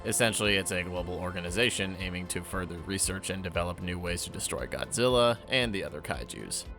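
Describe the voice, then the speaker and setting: A man's voice: sits low, fast, music in the background. A man narrating a scripted recap and review with wry asides. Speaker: guest co-commentator.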